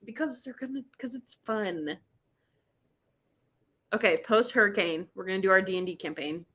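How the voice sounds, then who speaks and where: A woman's voice: mid-range voice, moderate pace, a bit bright, slightly rough. A woman hosting a casual book chat livestream, with a playful, exclamatory, slangy way of talking. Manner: playful, exclamatory, slangy, spells out internet abbreviations. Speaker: book club live show host.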